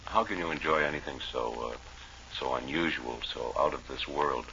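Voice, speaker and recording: A man co-hosting radio banter in a deadpan, earnest, hesitant way. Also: a bass voice, moderate pace, vocal fry. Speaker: radio comedy co-host. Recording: dull old radio recording, faint noise.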